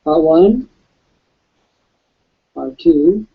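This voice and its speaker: A raspy-voiced man hosting a live webcast and pitching an offer, emphatic like a salesman. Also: lively intonation, drawn-out words. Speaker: webcast host. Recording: dull lo-fi webcast audio.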